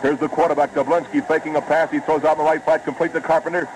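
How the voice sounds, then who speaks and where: A man calling a football game play by play on live radio, brisk and rising with the action, on a noisy old broadcast recording. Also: a little high, little pitch movement. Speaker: play-by-play announcer.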